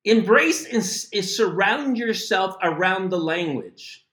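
A middle-aged man from the United States giving a casual spoken language lesson, animated and chatty with asides. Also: sits high, a little slow, roomy sound. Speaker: language teacher.

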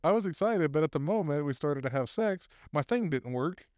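A man's voice: a little high, lively intonation, a little fast, darkish tone, dry recording, studio quality. Man reading stories aloud with laughs. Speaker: podcast co-host reading posts aloud. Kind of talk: casual podcast chat.

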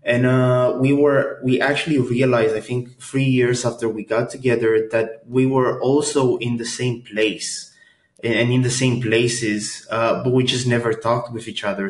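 A young man from Romania, a native Romanian speaker, talking in English as a podcast guest in a relaxed, hesitant way with many fillers. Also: medium pitch. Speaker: guest, agency co-founder. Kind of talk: casual interview. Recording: echoey room.

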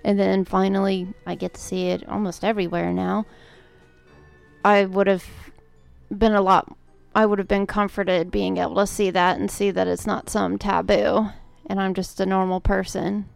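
Smooth, gravelly-edged woman co-host. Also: medium pitch, little pitch movement, moderate pace, soft background music. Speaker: podcast co-host. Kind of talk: casual chat.

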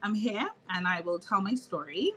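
A woman's voice: medium pitch, normal speed, a little hiss or hum. A woman from Trinidad and Tobago, a physical therapist, chatting as a guest on a podcast and laughing often as she tells stories. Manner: laughs often, animated storytelling. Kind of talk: casual interview chat.

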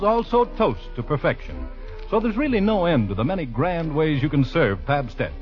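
A man as a commercial announcer, reading a scripted 1940s radio advertisement. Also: a little high. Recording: dull vintage radio recording.

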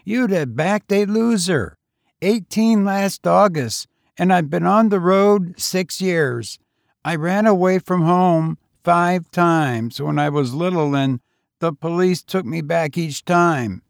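A man voicing a frail, husky teenage boy tramp who talks eagerly and fatalistically. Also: sits high, takes it slowly, no room sound, clean studio sound, pitched above the performer's own voice, full character voice. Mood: pleading.

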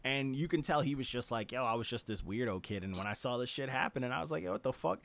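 A man's voice: medium pitch, lively intonation, fast, gravelly, fairly bright tone. A man in a casual album review chat, talking as a host in a slangy, profane, enthusiastic way. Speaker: music review show host.

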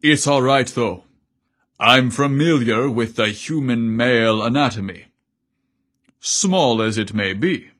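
A man's voice: mid-range voice, slow, a bit bright. A man voicing a huge merman in a romance story, an assured, affectionate and teasing lover. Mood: amused, flirtatious.

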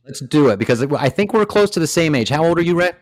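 A man's voice: a little high, expressive, quick pace, dry recording, studio quality. Upbeat man in his fifties hosting. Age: 50s. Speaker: podcast host. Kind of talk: casual interview chat.

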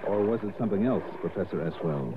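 A man's voice: fairly low pitch, lively intonation, quick pace, noisy background, close to an everyday voice. A male actor playing a skeptical, easygoing investigator who also narrates a 1960s radio detective drama, wry and politely doubtful. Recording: tinny old radio broadcast.

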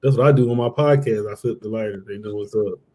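A man's voice: lowish voice, warm tone. A man hosting a podcast, asking his guest questions in casual, joking chat. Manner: joking, quick wrap-up questions. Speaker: podcast host, interviewer.

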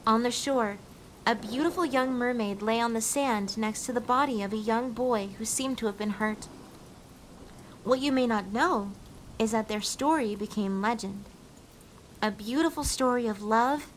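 A woman's voice: fairly high pitch, fairly bright tone, rough recording. A woman playing a gracious, theatrical mermaid guardian spirit, telling a scripted fairy tale to listeners as guests. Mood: solemn storytelling. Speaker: storyteller. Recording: faint background noise and ambience.

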